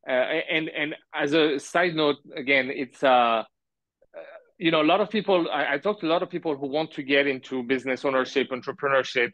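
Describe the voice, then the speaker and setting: Dull, dry lo-fi audio carries a man from France speaking as a podcast guest. He tells his story casually at a medium pitch, halting and wandering into asides. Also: a bit bright, no echo. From grew up in France. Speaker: podcast guest, business owner. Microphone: remote call.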